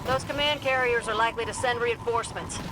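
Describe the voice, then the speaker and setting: A woman's voice: a little high, quick pace, noisy background, lightly acted. A woman playing a plucky combat pilot in a video game, giving alert mission chatter. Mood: alert, determined.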